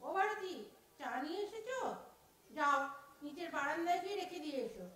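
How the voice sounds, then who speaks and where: Actress as a weary, sarcastic lady doctor, high voice, echoey and distant. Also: lively intonation, brisk, a bit bright. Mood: exasperated. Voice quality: sighing, sarcastic. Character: exasperated woman doctor, orchard owner. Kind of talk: audio drama acting. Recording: echoey room from far off.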